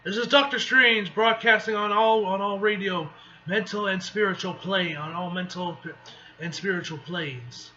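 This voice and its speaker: A beleaguered male sorcerer read out by a man from a comic broadcasts an earnest, formal plea. The voice is high and very bright, tripping on words. The recording is dull and faintly noisy. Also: moderate pace. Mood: earnest pleading. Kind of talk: amateur comic book read-aloud acting. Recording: dull lo-fi mic with faint noise.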